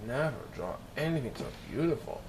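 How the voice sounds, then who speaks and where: A man's voice: mid-range voice, sing-song, brisk, darkish tone. Man reading a children's story, noisy recording. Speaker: storyteller reading a picture book. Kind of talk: read-aloud children's story narration.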